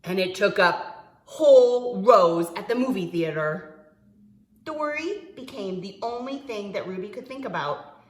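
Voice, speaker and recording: A woman preschool teacher reading a picture book aloud to young children, expressively, with dramatic pauses and stretched-out words. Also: mid-range voice, lively intonation, darkish tone, roomy sound.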